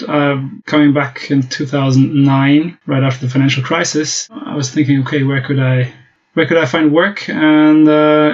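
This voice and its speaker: Flat-voiced German man, hesitant. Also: mid-range voice. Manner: hesitant, many fillers and restarts. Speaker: guest. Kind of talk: casual interview talk. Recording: dull lo-fi podcast audio.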